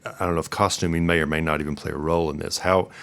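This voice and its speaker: Low-voiced man hosting a podcast. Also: fairly even intonation, very fast, a bit bright. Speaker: podcast host. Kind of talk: podcast interview.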